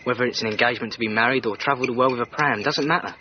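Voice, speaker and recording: An actor playing a loyal, steadfast manservant, deferential and earnest, in a radio drama. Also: male voice, medium pitch, racing, lightly acted. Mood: earnest, reassuring. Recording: dull sound with clear background noise.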